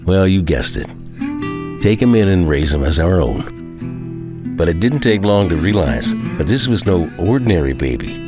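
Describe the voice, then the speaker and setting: Low-voiced, wry small-town dad. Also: male voice, sing-song, moderate pace, over music. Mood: wry storytelling. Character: plainspoken, apprehensive Kansas farm-town husband and father. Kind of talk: first-person audio drama narration and dialogue.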